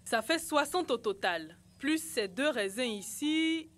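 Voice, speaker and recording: A woman's voice: high-pitched, animated, takes it slowly, fairly bright tone, exaggerated voice. A woman voicing an eager, upbeat child in a French-dubbed educational cartoon. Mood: counting, bright.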